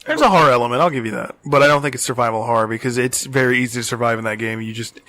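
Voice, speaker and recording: A man's voice: mid-range voice, rapid-fire, pushed and bright. A man co-hosting a podcast, chatting casually and debating in a blunt, opinionated way with plenty of swearing. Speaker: podcast co-host. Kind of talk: casual chat debating movies and games.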